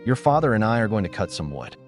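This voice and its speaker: A man voicing a cold, stubborn mother in a children's fairy tale, brisk and decisive. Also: fairly low pitch, very animated. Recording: music under the voice.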